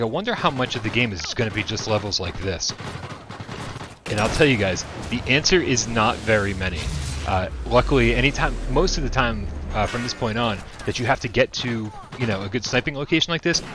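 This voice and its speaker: A man's voice: mid-range voice, background noise. A man casually commenting on a VR shooter during a livestream, chatty and upbeat, laughing often and full of fillers. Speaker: VR game streamer.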